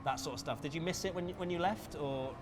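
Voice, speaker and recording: Male interviewer over clear engine noise. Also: a little high, quick pace. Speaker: interviewer and host. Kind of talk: casual interview chat at a racing game rig. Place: racing simulator at a busy gaming event. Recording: clear vehicle and ambient background noise.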